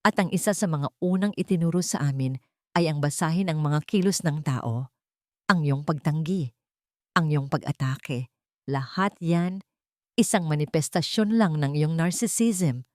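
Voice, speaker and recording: A woman voicing a composed, defiant young woman in an audio love drama, calm, cutting and deliberate. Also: low voice, no echo, studio quality. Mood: cold accusation.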